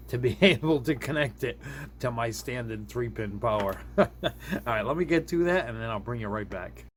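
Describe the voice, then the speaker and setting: A man giving a casual hands-on gadget demo, informal and often chuckling as he thinks aloud, recorded indoors with background noise. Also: medium pitch. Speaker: product reviewer. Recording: clearly noisy room recording.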